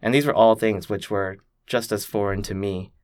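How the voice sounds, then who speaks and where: A young man from Canada, thoughtfully telling his own story as a podcast guest, with many pauses and "like" fillers. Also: lowish voice, fairly even intonation, no echo. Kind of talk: reflective personal storytelling.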